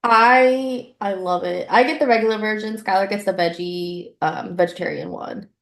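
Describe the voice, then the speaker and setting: A young woman co-hosting a casual chat, bubbly and excitable, laughing often. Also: medium pitch, moderate pace, vocal fry. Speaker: podcast co-host.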